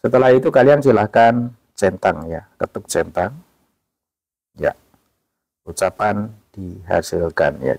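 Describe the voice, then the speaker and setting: A man hosting a casual app tutorial, talking in a relaxed way and tagging "ya" onto most phrases. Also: fairly low pitch, vocal fry, no room sound, poor audio. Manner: relaxed, repeats "ya" and fillers often. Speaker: tutorial host.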